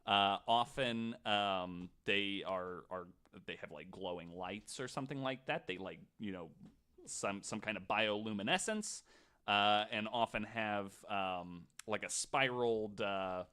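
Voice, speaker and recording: A man co-hosting a podcast, telling a story casually in a skeptical, wry, somewhat halting way. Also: on the low side, sharp tone, clear, resonant tone. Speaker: podcast co-host.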